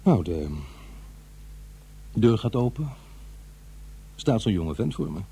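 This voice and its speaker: An actor playing an uneasy, defensive middle-aged divorced father in a radio drama, confiding in a halting, conversational way. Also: fairly low pitch, big pitch swings, creaky, mellow, husky, heavily acted. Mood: agitated, rueful. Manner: halting, hesitant, self-interrupting. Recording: faint noise and hum.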